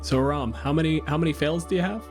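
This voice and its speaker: A man's voice: on the high side, quick pace, soft background music. A man as game master, giving rulings and narrating action in short, dramatic statements.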